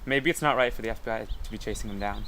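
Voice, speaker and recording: A man's voice: lowish voice, racing, pushed and bright, a little hiss or hum. A man playing an evasive, casual 1920s local being interviewed on the street from a scripted part. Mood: casual, persuasive.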